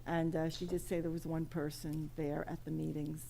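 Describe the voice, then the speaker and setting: A woman's voice: low voice, flat delivery, moderate pace, gravelly, warm tone. A woman talking casually as a committee member in a meeting discussion. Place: town committee meeting room. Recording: noisy meeting room recording.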